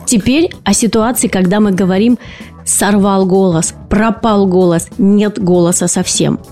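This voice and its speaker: A woman's voice: medium pitch, steady tone, unhurried, mellow, faint music behind. A woman speech and voice therapist hosting an educational podcast, explaining patiently and posing rhetorical questions to her listeners.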